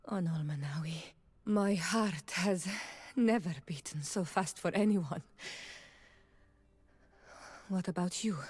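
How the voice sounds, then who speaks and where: An actress playing an earnest, gentle young island tribeswoman in a video game, speaking in measured, formal phrases. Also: fairly low pitch, husky. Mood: tender. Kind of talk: video game romance dialogue acting.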